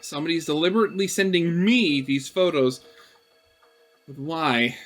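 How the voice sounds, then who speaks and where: High-pitched man doing a let's play. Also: moderate pace, fairly bright tone, soft background music. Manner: alternates narrator-like reading with offhand, sarcastic asides. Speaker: gaming video host. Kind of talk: let's play, reading visual novel text aloud with casual commentary.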